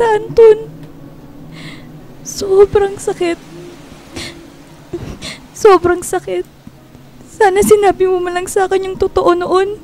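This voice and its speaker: An actress in a radio drama playing a grief-stricken young woman, pleading and halting through tears. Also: very high voice, a little mellow, a little hiss or hum. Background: music.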